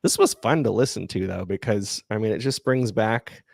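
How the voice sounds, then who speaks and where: Male podcast host, low gravelly voice, close dry sound, halting and unassuming in casual Q&A. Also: no room sound. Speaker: podcast creator and livestream host. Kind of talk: casual chat, answering listener questions.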